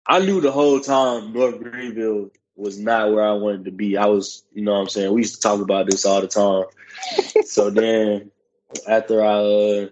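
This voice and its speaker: A man's voice: on the low side, very animated, normal speed, a little mellow, muffled recording, a little hiss or hum. A young man from South Carolina telling his story in a laid-back, matter-of-fact way as a podcast guest. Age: young adult. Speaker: guest, college football player. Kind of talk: casual interview.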